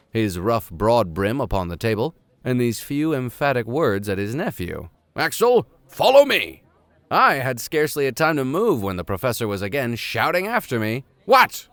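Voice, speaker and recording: A man of middling pitch reads aloud like an audiobook narrator of old classics. His intonation swings widely, with a playful, theatrical manner. The sound is close and dry, with no room echo. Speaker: audiobook reader. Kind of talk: expressive narration of classic literature with casual asides.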